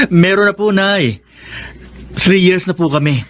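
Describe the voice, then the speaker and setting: A man's voice: sits high, takes it slowly, a bit bright. A man playing a grateful, devoted grown son in a Filipino radio drama, speaking sincerely. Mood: happy, proud. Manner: earnest, sincere.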